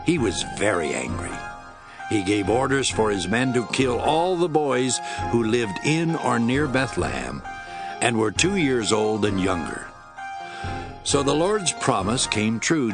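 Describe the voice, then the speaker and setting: A man giving scripted dramatic narration of the Bible. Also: fairly low pitch.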